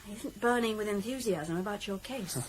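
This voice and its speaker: An actress in a British radio drama playing a determined, outspoken woman probation officer with a brisk, direct manner. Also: mid-range voice, a little hiss or hum, lightly acted, rough recording. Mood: probing.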